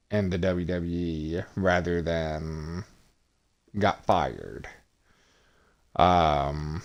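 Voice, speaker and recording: A man with a low voice and a very slow, deliberate pace narrates his gameplay stream. He sounds monotonous and hesitant, with frequent pauses and filler words. His voice comes through clean and smooth. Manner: monotonous, hesitant with many ums. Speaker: gaming streamer. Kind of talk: casual game commentary.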